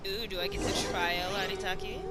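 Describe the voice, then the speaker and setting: A woman's voice: medium pitch, steady tone, a little fast, noisy background. A woman giving casual, playful, teasing commentary as she plays through a video game. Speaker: gaming video host. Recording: game music behind the commentary.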